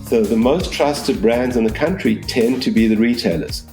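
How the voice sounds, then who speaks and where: A man in his sixties from Zimbabwe with a mixed Southern African accent, answering interview questions in a measured, explanatory way. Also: mid-range voice, a little mellow, echoey room, faint music behind. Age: 60s. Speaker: business school dean, interview guest.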